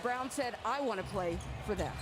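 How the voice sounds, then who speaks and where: A woman's voice: on the high side, lively intonation, normal speed, heavy background noise. A woman sports reporter delivering a broadcast sideline report.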